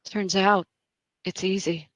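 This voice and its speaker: A woman playing a mad, taunting young female killer in a horror table read, mocking and drawn-out. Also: mid-range voice, steady tone, no echo, close to an everyday voice. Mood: gleeful, mocking. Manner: mocking, drawn-out, punctuated with laughter.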